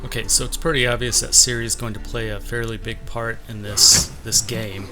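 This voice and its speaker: A man's voice: mid-range voice, little pitch movement, a little fast, sharp tone, soft background music. A man giving casual, sardonic gameplay commentary with a complaining streak. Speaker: let's play gamer.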